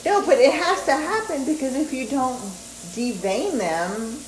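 A woman around forty chatting casually in a home kitchen, animated and teasing. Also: sits high, measured pace, roomy sound, slight background noise, rough recording. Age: 30s. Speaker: retail sales manager. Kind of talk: casual chat.

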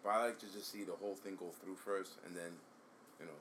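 A man co-hosting a casual chat, talking in a relaxed, hesitant way with trailing phrases. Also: low voice, quick pace, background noise. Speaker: podcast co-host.

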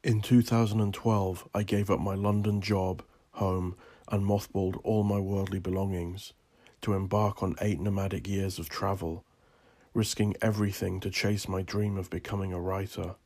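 Male narrator, low and gravel-edged, theatrical yet restrained in inflection, for solemn, deliberate scripted storytelling. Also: little pitch movement, a little mellow. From Northern Ireland. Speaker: author narrating own story.

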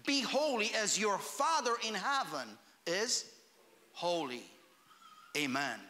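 A man from Romania, preaching a fervent sermon in a church that builds to shouts on key phrases. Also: sits high, very animated, slow, in a large hall. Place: church hall.

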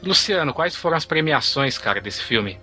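A man's voice: fairly high pitch, big pitch swings, moderate pace, bright, forceful tone, soft background music. A man hosting a film podcast, reading the credits and steering the talk with questions. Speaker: podcast host. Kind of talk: casual podcast discussion.

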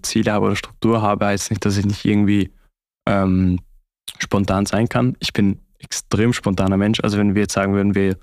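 A man's voice: on the low side, no room sound, clean studio sound. A young man with an Austrian accent, talking reflectively and conversationally as an interview guest. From Austria (Vorarlberg).

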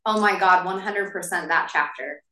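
A woman's voice: fairly low pitch, normal speed, sharp tone. A woman from California chatting casually as a podcast co-host, effusive and emphatic. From Riverside, California, United States. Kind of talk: casual chat, fan Q&A. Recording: echoey room, distant from mic.

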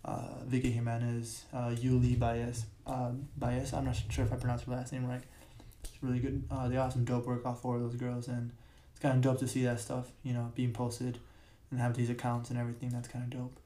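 A young man with a raspy voice hosts his own podcast. He rambles casually and keeps correcting himself, using plenty of fillers. His delivery stays flat and mellow, and a large room can be heard around him. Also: medium pitch, a little fast, few pauses. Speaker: podcast host. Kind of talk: casual solo podcast talk. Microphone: podcast mic.

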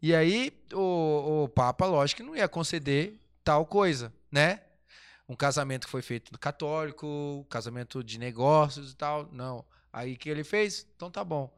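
A man's voice: fairly high pitch, animated, normal speed, smooth. A man telling history, rambling and restarting, asking himself rhetorical questions. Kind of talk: casual history chat.

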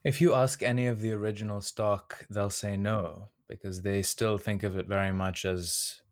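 A man's voice: on the low side, fairly even intonation, moderate pace, no echo, studio quality. A young man from Australia with a light Australian accent, a native Serbian speaker, chatting casually as a podcast guest with many "like" fillers. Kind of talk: casual conversation.

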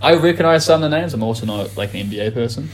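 Young kiwi dude rambling on a podcast, swears a lot, keeps laughing, normal pitch. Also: male voice, animated, racing. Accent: New Zealand. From New Zealand. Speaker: podcast co-host. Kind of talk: casual chat.

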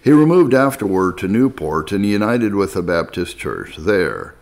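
A man narrating a historical text in a measured, formal, scripted audiobook style. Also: fairly low pitch, sing-song, gravelly, a little mellow. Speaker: narrator reading historical texts.

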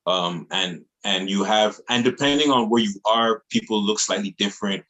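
Male music artist, very low voice, earnest slangy interview talk. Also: normal speed, fairly bright tone, rough recording. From Montreal, Canada. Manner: earnest, repetitive phrasing with casual slang. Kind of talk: casual interview chat.

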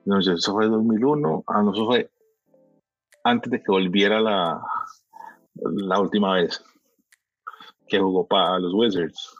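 A man's voice: fairly low pitch, a little slow, long pauses, dry recording, muffled recording, soft background music. A man chatting casually in a laid-back, slangy way, often hesitating and restarting. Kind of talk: casual chat.

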